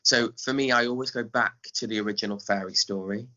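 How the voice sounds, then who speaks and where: A man's voice: on the low side, fairly even intonation, fast talker, pushed and bright, muffled recording. A man with an English accent chatting casually as an interview guest, explaining his work as a theatre director. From England. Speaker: guest, pantomime director and scriptwriter.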